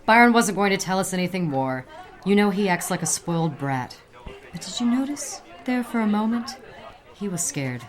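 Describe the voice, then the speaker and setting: A woman's voice: mid-range voice, big pitch swings, moderate pace, close to an everyday voice. An actress playing an unflappable, streetwise woman sleuth in a noir audio drama, sassy and teasing. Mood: sassy, knowing. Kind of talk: noir radio drama acting.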